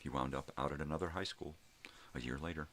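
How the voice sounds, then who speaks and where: A middle-aged man with a gravelly voice, rambling casually as he tells stories with swearing and emphatic bursts. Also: a bass voice, fast, fairly bright tone, slight background noise. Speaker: host of a daily personal vlog.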